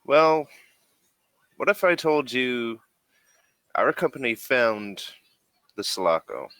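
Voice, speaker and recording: Man acting corporate agent, persuasive, secretive, deliberate, halting, very dry close sound, bright edge, tabletop game. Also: mid-range voice, sing-song, clear, resonant tone, dry recording, pitched above the performer's own voice, heavily acted. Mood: sly. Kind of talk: in-character tabletop role-play.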